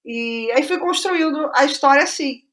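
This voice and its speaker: Casting: Brazilian woman, fairly high voice, animated conversational storyteller, interview guest thinking out loud. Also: moderate pace. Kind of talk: casual conversational interview about writing process.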